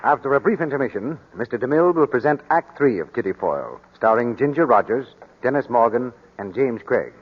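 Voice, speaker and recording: A man's voice: mid-range voice, very animated, fairly quick, few pauses, slight background noise. A man working as a 1940s radio announcer, delivering scripted introductions and sponsor chat. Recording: muffled 1940s radio broadcast.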